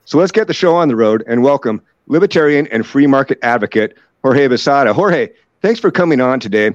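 A man's voice: mid-range voice, lively intonation, fairly quick, dry recording. A man hosting an interview podcast, asking loose, conversational questions. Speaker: podcast host. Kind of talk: casual interview chat. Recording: dry, dull lo-fi sound.

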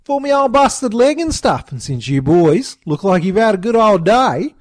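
A man shouting as a bossy crooked bogan, Australian. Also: high voice, sing-song, normal speed, no echo, studio quality, pitched above the performer's own voice. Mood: bossy yelling. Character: blustering crooked older bogan man with bad leg.

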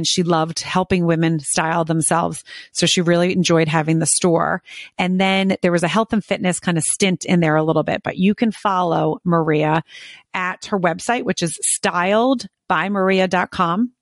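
Eager woman hosting a casual interview podcast, with a lowish voice and a chatty manner. Her voice sounds close and dry, with no room sound. She talks in long, rambling stretches. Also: no echo. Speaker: podcast host.

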